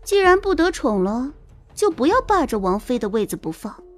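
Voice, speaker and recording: Woman acting a haughty, high-pitched menacing young concubine. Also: very animated, soft background music. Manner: sneering, scolding, drawn-out threats. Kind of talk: audio drama character acting.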